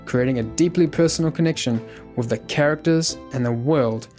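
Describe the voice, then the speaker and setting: A man's voice: mid-range voice, moderate pace, darkish tone. A man reading scripted documentary narration. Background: faint guitar music.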